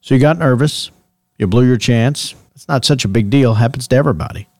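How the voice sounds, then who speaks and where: An actor playing a folksy late-night paranormal radio host with easy patter and a mildly skeptical manner. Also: male voice, medium pitch, a little fast, a little mellow, no room sound, studio quality, close to an everyday voice.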